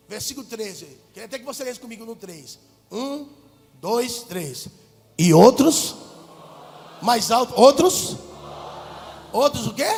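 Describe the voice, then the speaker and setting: A Brazilian man preaching with fervor, his voice strikingly high and gravelly. He lurches from shouts to hushed asides. The sound carries the long reverb of a big church hall, with faint music underneath. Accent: Brazilian Portuguese. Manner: fervent, swings from loud shouts to low asides. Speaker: pastor. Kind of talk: preaching a sermon.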